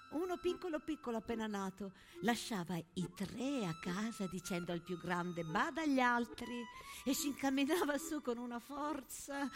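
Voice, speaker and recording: An actress playing a brave peasant woman from the mountains, tenderly telling her story in a theatrical monologue. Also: a little high. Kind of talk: dramatic storytelling monologue with music. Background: accordion music.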